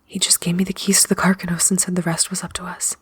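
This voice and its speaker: A woman acting in an audio drama as a wry, weary prisoner, sardonic and often hushed. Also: lowish voice, level tone, fast talker, breathy edge, no echo, in a whisper, studio quality. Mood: urgent, hushed. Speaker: voice actor in a fiction podcast.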